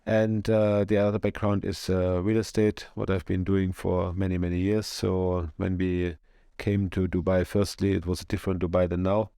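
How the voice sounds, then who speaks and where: A man speaks in a podcast chat about his work. His low, dark voice barely rises or falls. The recording is dry and close. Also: level tone, moderate pace, dry recording. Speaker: co-owner of a real estate firm.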